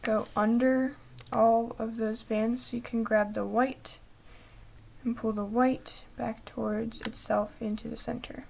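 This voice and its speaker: A young woman hosting a craft tutorial, soft-spoken and apologetic, talking through each step while losing her voice. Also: on the high side, level tone, a little slow, gravelly, slight background noise, rough recording. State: losing her voice, clearing throat.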